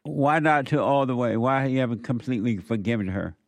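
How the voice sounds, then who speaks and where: A man's voice: mid-range voice, a little fast, no room sound. A man hosting a radio call-in show, questioning the caller in a blunt, challenging way with short repeated questions. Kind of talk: call-in debate, probing questions.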